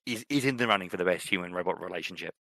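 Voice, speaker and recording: Man, low voice, dry close sound, excited podcast chat, repeats phrases. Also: racing, creaky, no echo. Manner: enthusiastic, repeats himself for emphasis. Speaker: podcast co-host. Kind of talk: casual film discussion.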